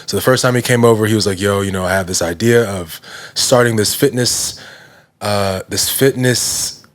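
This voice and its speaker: A man in his thirties with a very low, deep voice tells his story in a loose, casual way, leaning on fillers as he goes. He talks as a podcast guest. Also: moderate pace. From Nigerian heritage. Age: 30s. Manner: relaxed, heavy use of fillers like "you know" and "like". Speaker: guest, fitness brand founder.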